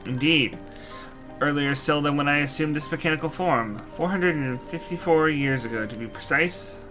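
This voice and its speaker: A man's voice: fairly high pitch, normal speed, close to an everyday voice. A man voicing a courtly old knight reborn as a machine, telling his tale in formal, archaic, solemn speech for a fantasy game. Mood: courtly, patient. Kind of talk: fantasy game character dialogue. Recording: music behind the voice. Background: game music.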